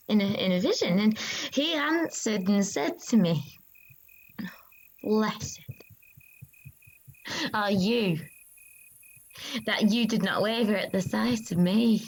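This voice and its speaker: A woman playing a female disciple who solemnly recounts a vision in a halting, reverent dramatic monologue with long pauses. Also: medium pitch, creaky, full character voice, poor audio. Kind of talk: dramatic monologue of an ancient religious text.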